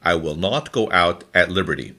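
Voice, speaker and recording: A man reading scripture from a formal translation in a deliberate, phrase-by-phrase manner. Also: low voice, animated, pushed and bright. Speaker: scripture reader.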